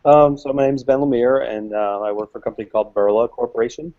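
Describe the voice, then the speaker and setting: A man answering interview questions as a guest expert, relaxed and full of fillers. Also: mid-range voice, quick pace. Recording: dull lo-fi webcast audio.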